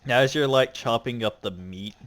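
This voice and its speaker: A man's voice: medium pitch, normal speed, fairly bright tone. A man narrating as game master of a tabletop roleplaying game, casual with fillers.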